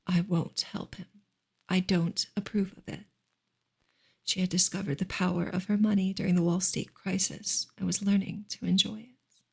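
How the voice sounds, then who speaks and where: A woman gives a soft ASMR reading of a book, breathy and often close to a whisper, in a gentle, measured way. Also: mid-range voice. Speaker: streamer reading a novel aloud.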